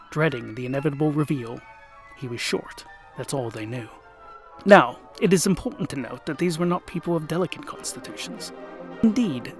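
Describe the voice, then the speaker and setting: Theatrical male storyteller, slightly high voice. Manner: theatrical, dropping to hushed whispers and rising to bursts. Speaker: narrator and host. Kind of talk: scripted audiobook narration.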